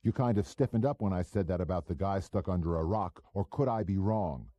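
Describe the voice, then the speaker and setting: A man's voice: low voice, brisk, mellow, dry recording, voice pushed up. A man playing a sneering, talkative, cynical wise-guy operative with a cocky, slangy manner in an audiobook. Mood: needling.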